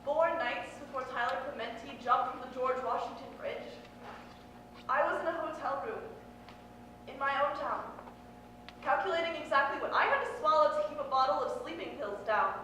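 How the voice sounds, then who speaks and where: A woman's voice: sits high, moderate pace, bright, forceful tone. A woman reciting spoken-word poetry in an earnest, impassioned and emphatic way. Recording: very echoey room, distant mic, faint noise.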